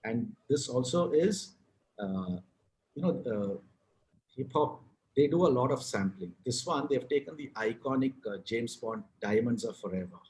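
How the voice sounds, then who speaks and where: A man's voice: medium pitch, lively intonation, normal speed, a little mellow, rough recording. A man with a South Indian accent, a guest on a video-call interview, talking in a frank, rambling way with restarts and casual swearing. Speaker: guest, advertising writer and author.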